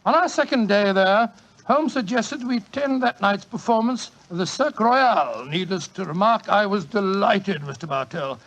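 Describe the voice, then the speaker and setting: A high, creaky-voiced older English doctor in an old radio play. He is genial and old-fashioned, an avuncular storyteller who chats as he reminisces. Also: lively intonation, moderate pace, fairly bright tone, poor audio. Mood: storytelling. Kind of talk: 1940s radio drama storytelling.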